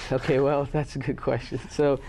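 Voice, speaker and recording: A man's voice: mid-range voice, flat delivery, moderate pace, gravelly, a little mellow, a little hiss or hum, rough recording. A man from Pakistan answering interview questions about his company, with many fillers and restarts. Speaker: guest, company head.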